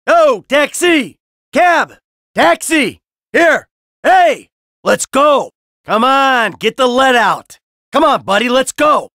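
A man's voice: very high-pitched, lively intonation, slow pace, bright, forceful tone, no echo, clean studio sound, exaggerated voice. A man voicing a cynical, brash middle-aged American criminal in a video game, sarcastic and foul-mouthed. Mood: impatient, calling out. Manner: sardonic, brash, swearing.

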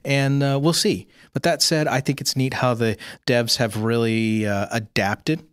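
A man's voice: medium pitch, fairly even intonation, unhurried, smooth, no echo, studio quality. A man co-hosting a podcast, chatting casually about games. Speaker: podcast co-host.

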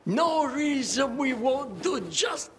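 An actor playing an excitable, stubbornly confident older tinkerer in a video game, with a creaky, insistent delivery. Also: male voice, extremely high pitch, takes it slowly, slight background noise, heavily acted. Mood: agitated reassurance.

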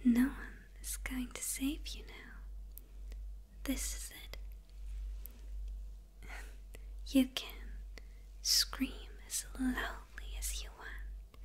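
A woman's voice: sits high, steady tone, full character voice. Woman whispering as a gloating demon villainess. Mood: quietly menacing. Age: young adult. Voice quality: breathy whisper.

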